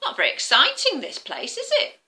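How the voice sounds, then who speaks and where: Woman as an eager, lively young storybook bear. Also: extremely high pitch, normal speed, voice pushed up, full character voice. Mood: bored, suggesting.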